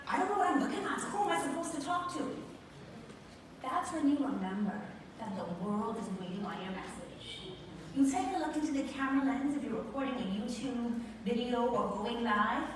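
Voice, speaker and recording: A woman with a Bahamian accent giving an emphatic motivational stage speech, stretching key words for effect. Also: a little high. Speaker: motivational speaker and show host. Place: large venue on stage with live audience. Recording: very echoey stage sound, distant, noisy.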